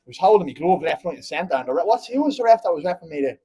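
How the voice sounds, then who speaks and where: Brief: young Dublin fighter, husky, slightly high voice, blunt and rambling. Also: male voice, big pitch swings, very fast, warm tone. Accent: Dublin Irish. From Ireland. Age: 20s. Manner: blunt, heated and rambling, keeps asking "you know what I mean". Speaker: fighter at a press conference. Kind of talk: post-fight press conference.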